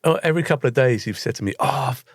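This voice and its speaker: A man's voice: medium pitch, expressive, racing, dry recording, clean studio sound. A man with a British accent co-hosting a podcast in casual chat, with a teasing, questioning manner. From Britain. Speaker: podcast co-host.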